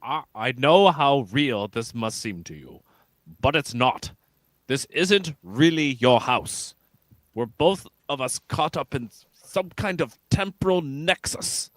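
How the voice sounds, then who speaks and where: A man playing an earnest, polite starship captain in an amateur radio play, speaking in a deliberate, halting way. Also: medium pitch, animated, fairly bright tone, dry recording.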